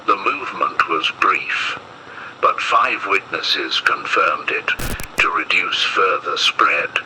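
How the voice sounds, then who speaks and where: Very deep, slow, sharp-edged male horror narrator. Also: animated, slight background noise, poor audio. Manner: grave, ominous, measured. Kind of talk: scripted analog-horror narration, posed as an official warning.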